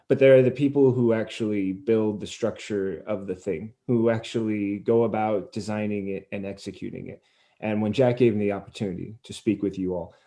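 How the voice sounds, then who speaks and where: A man's voice: fairly low pitch, dark tone. A man hosting a conversational interview, speaking in an earnest, self-effacing way. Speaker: interview host.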